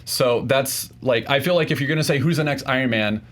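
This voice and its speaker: A man debating casually as a contestant, argumentative and often restarting his points in a halting way. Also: medium pitch, a bit bright.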